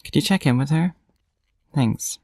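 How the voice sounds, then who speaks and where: A woman acting a concerned, hesitant friend leaving a gentle, casual voicemail in an audio drama. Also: low-pitched, unhurried, noisy background. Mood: worried, tentative.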